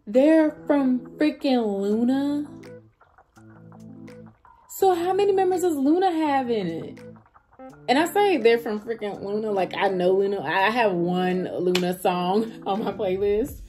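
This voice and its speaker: A woman's voice: on the high side, expressive, measured pace, dark tone, soft background music. An American woman giving casual reaction commentary as a video host, speaking in a blunt, slangy, conversational way.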